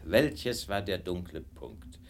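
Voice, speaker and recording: A man acting a self-assured, keen-minded detective in a radio drama, friendly in a condescending, deliberate way. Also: sits low, very animated, slight background noise, exaggerated voice. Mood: explaining, musing.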